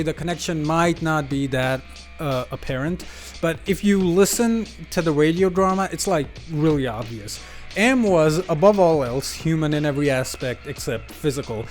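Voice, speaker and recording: Higher-voiced man doing casual game commentary. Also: lively intonation, normal speed, faint music behind. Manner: slangy, jokey, emphatic. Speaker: video game commentary YouTuber. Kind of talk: casual, unscripted game analysis monologue.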